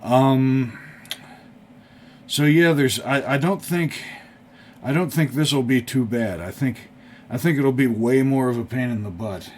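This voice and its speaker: A man's voice: medium pitch, measured pace, pauses often, a little mellow. A man giving casual, unscripted workbench commentary as a hobby video host, thinking aloud in a folksy, tentative, rambling way. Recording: clearly audible background noise.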